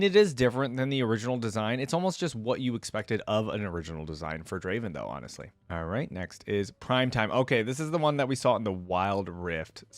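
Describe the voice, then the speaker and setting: Animated man reacting casually, dry close sound. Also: medium pitch, dry recording. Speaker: reaction video host.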